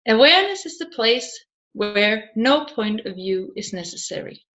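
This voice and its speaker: A woman with a fairly high voice narrates an audiobook from a script. She reads deliberately and in measured fashion, setting out the text in short, separate phrases. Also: lively intonation, fairly bright tone, muffled recording.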